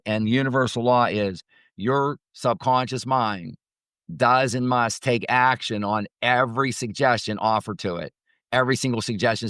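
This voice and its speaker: A man's voice: mid-range voice, fairly bright tone, clean tone, no room sound, clean studio sound. A man speaking as a motivational coach and host, teaching off the cuff in an emphatic way and repeating phrases for stress. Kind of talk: spontaneous motivational teaching talk.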